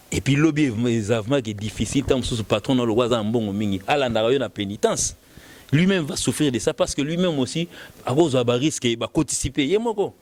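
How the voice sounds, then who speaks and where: Congolese man, halting and repetitive talker. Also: medium pitch, darkish tone. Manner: halting, repeating words as the argument builds. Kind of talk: casual opinionated talk mixing French and Lingala.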